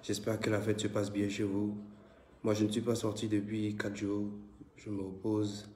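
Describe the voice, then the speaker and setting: A man with a West African French accent and a head cold hosts a casual live stream and calls out greetings to viewers. His voice is low, dark and mellow, with a flat delivery. The echoey room makes it sound roomy. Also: normal speed, a little hiss or hum. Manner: loud, repetitive greetings and shout-outs to viewers. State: congested with a cold.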